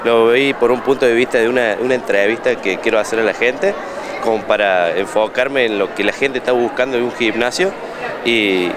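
A man's voice: mid-range voice, background noise. A man in his thirties with an Argentine accent, a gym owner interviewed at his fair stand, repeating words and restarting often as he talks into a handheld mic. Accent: Argentine Spanish. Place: indoor expo hall stand. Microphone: handheld reporter mic.

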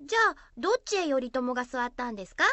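Lady doing curious little schoolgirl, high lively voice, admiring, radio play. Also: no room sound. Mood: polite questioning. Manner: inquisitive, drawn-out musing. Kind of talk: amateur radio drama.

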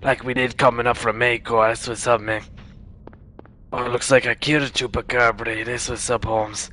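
A man's voice: medium pitch, level tone, normal speed, bright, forceful tone, natural voice. A young man gaming who puts on the strained voice of a swaggering, tough-talking street gangster, tagging his lines with slang. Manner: strained put-on voice, repeated street-slang tags. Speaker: gamer. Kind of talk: gameplay commentary in a put-on character voice.

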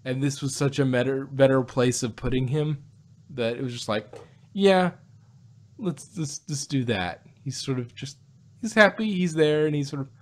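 A man casually discussing an audio drama as a review host, in a halting, rambling way. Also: medium pitch.

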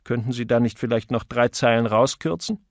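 A man's voice: mid-range voice, a little fast, no echo, studio quality, natural voice. A man voicing a harried advertising manager in an audiobook, insistent yet unsure and pleading.

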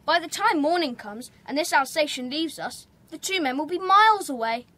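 A woman's voice: high voice, animated, normal speed, pushed and bright. A woman playing a sensible, bossy schoolboy gang leader with an English accent in a children's audio drama. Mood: earnest, sensible.

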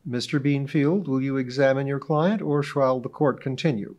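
A man's voice: medium pitch, darkish tone, lightly acted. A man doing a dry, stern judge. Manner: measured, dry, authoritative. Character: stern weary male judge, dry and patient.

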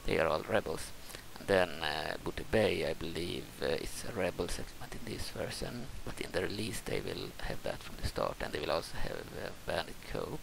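Very gravelly, low-voiced Swedish man. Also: lively intonation, normal speed, few pauses, background noise. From Sweden, adopted from Brazil. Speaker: gaming video host. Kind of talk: casual unscripted game commentary. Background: loud game music.

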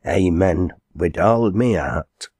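A frail-spirited old pedlar from a storybook, performed by a man, timid and whispery. He speaks slowly and meekly, as if only ever agreeing. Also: sits low, husky, no echo, studio quality, heavily acted. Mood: grateful. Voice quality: hushed, whispery.

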